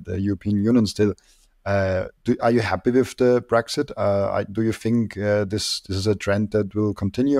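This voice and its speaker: A man's voice: fairly low pitch, gravelly, a little mellow, dry recording. A young man hosting an interview from a room at home, speaking into a close desk mic. He hesitates, stammers and gives small laughs. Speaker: host. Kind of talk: casual interview questions. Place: room at home with colored lights. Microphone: large desk mic close up.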